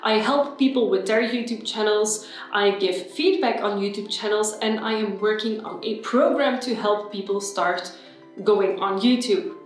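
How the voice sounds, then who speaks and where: A woman's voice: medium pitch, unhurried. Echoey woman vlogging. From Belgium. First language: Dutch. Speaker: YouTuber. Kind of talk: personal vlog talk to camera. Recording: very echoey room with faint music.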